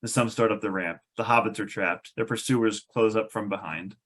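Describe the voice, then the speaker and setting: A man's voice: on the low side, moderate pace, fairly bright tone. A man reading stage directions aloud as narrator of a scripted table read.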